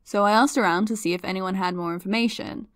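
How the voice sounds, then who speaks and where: Brief: woman playing a curious podcaster, mid-pitch, chatty and teasing, very dry close sound. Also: fast, dry recording, lightly acted. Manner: chatty, playful, dramatic asides. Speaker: podcast host. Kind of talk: audio drama, chatty podcast monologue.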